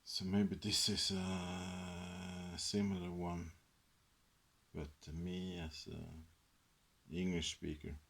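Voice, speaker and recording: A Swedish-native man in a soft-spoken ASMR book chat, rambling and hesitant with many fillers, sometimes dropping into a whisper. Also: low voice, level tone, extremely slow. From Sweden. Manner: drifts into whispers. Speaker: ASMR host.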